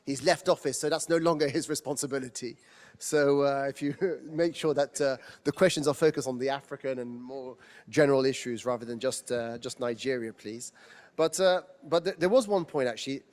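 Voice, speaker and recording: A man from Tunisia who speaks with a British accent, moderating a question session after a lecture. He talks conversationally and hedges a lot, circling with fillers before landing on his question. His voice sits at an ordinary male pitch. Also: brisk, darkish tone. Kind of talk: moderating a panel Q&A.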